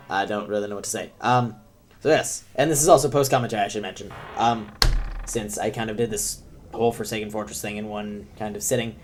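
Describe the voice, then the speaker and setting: A young man with a cold talks over gameplay in a lowish, thickened voice. He rambles, loses his train of thought and pokes fun at himself, filling gaps with plenty of ums, while faint music plays underneath. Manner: rambling, self-deprecating, many ums. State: sick, deep voice. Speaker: gaming commentator. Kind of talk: let's play commentary.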